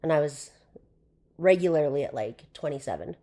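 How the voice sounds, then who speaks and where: Guest: young woman, lowish hoarse voice, loose chatty delivery. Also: animated, normal speed, warm tone. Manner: chatty, with frequent fillers and laughs. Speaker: podcast guest. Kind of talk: casual interview chat.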